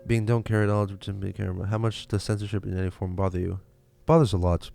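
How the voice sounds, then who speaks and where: A man's voice: deep voice, little pitch movement, quick pace, gravelly, dark tone, no room sound, soft background music. A young man from Lithuania with a Lithuanian accent, chatting casually on a livestream and answering viewer questions, with many fillers and restarts. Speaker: livestream host.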